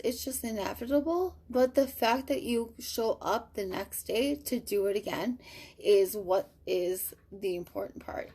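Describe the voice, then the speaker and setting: A woman's voice: medium pitch, warm tone, breathy edge. A woman in her thirties hosting a casual live stream from home. She reads viewer comments aloud in an upbeat, chatty way with many fillers. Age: 30s. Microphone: webcam or phone on a live video.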